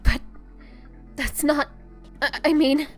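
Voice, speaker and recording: Woman acting a high-voiced, shaken young heroine. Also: little pitch movement, slow pace, soft background music. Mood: protesting. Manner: hesitant, apologetic. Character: earnest, shaken young female superhero. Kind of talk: audio drama acting.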